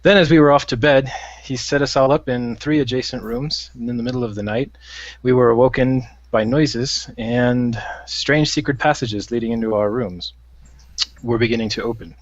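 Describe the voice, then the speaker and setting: A man's voice: mid-range voice, steady tone, moderate pace, no echo, dull audio, lightly acted. A man voicing an adventurer who recounts events in a plain, matter-of-fact way. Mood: recounting.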